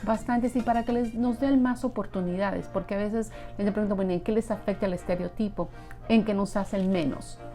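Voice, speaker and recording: A woman with a Mexican accent speaks in a medium-pitched voice. She talks in the conversational style of an interviewer, with faint music behind her. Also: steady tone, fairly quick, mellow.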